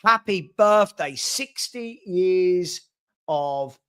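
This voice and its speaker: A young man hosting a live stream, his voice pitched fairly high for a man. He chats in an upbeat way, rattling off shout-outs to viewers while fighting a sniffly head cold. He is close to a desk mic. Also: animated, extremely slow, smooth. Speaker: host of a live fan chat show. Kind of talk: casual live-stream chat reading out viewers' comments.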